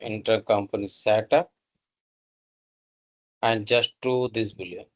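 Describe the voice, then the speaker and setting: A man with a slightly low voice walks through a software tutorial. He speaks very slowly, in short instructional phrases with halting pauses between the steps. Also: fairly even intonation. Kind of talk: step-by-step screen tutorial narration.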